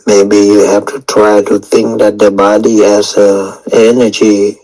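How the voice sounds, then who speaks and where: Guy teaching meditation, really slow, long pauses, pretty even tone. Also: on the low side, rough recording. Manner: unhurried, gently repetitive, with long pauses. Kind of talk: guided meditation instruction.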